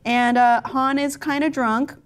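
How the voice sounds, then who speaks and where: A woman's voice: sits high, steady tone, measured pace, clear, resonant tone. A woman host chatting casually and comically as she explains and introduces things.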